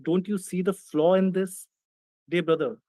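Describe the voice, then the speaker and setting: A man with an Indian accent, who does not speak English as his first language, debating in a confrontational, deliberate way on a close mic. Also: high-pitched, fairly even intonation, dark tone, no room sound. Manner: confrontational, slow and deliberate, reads out texts. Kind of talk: online debate.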